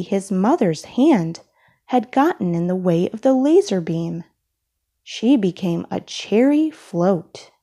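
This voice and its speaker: Slow-reading woman telling a children's story. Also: medium pitch, slightly rough. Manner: deliberate, expressive storytelling. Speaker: storybook reader. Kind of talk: children's picture book read-aloud.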